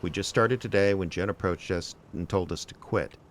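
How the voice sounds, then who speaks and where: An actor playing a wry, weary male private investigator, deadpan and sardonic, in radio drama narration and dialogue. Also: sits low, moderate pace, a little hiss or hum, close to an everyday voice. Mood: dry, curt. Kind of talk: first-person noir narration and dry radio drama dialogue.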